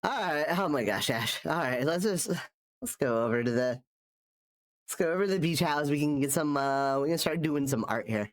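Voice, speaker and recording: A man's voice: on the high side, lively intonation, moderate pace. A man live-streaming while drawing, chatting casually and rambling, restarting his sentences, sounding nasal from a cold. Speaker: streamer and artist.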